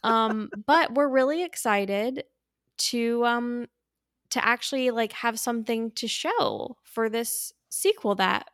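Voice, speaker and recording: A woman's voice: on the high side, animated, slow, fairly bright tone, no room sound. Chatty, enthusiastic woman in a dry recording. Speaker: podcast co-host. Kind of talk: casual chat.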